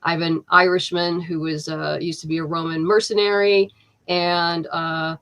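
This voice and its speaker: A woman's voice: lowish voice, little pitch movement, moderate pace, fairly bright tone, clean tone. A woman writer being interviewed, talking casually with lots of fillers and restarts. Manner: chatty, many fillers and restarts, laughs easily. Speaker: comic book writer, guest.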